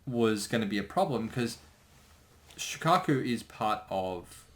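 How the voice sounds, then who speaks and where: Low-voiced man, halting casual commentary. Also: expressive, fairly bright tone. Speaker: creator giving episode commentary.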